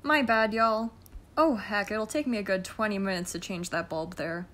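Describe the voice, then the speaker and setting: A woman's voice: mid-range voice, moderate pace, natural voice. A woman playing a folksy, apologetic handyman in a comedic radio play. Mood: sheepish. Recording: very noisy recording with clicks.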